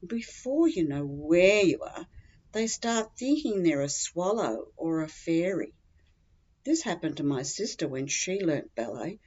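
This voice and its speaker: Woman, Australian accent, low pitch, dry comic storytelling, scripted first-person reading, slightly muffled lo-fi sound. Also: darkish tone. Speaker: humorous writer reading their own story.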